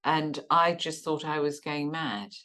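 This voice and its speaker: A middle-aged woman with a notably low voice talks as an empathetic therapist host. She asks gentle questions and often ends them with tag questions. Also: a little slow. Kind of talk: supportive interview conversation.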